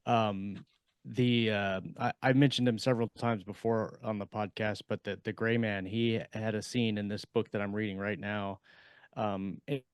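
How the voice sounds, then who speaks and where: A man co-hosting a podcast in casual chat, working through his points haltingly and restating them. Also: on the low side, level tone, smooth, no echo, studio quality. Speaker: podcast co-host.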